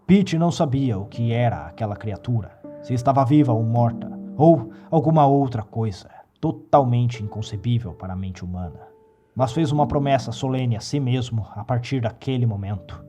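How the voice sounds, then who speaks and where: A man narrating a horror story in a measured, dramatic reading. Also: mid-range voice, expressive, warm tone. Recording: faint music behind the voice.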